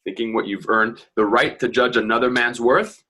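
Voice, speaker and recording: A man playing a bright-voiced, proud young man. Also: medium pitch, steady tone, normal speed. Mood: defiant. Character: proud, ambitious, earnest young working man. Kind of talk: dramatic play reading.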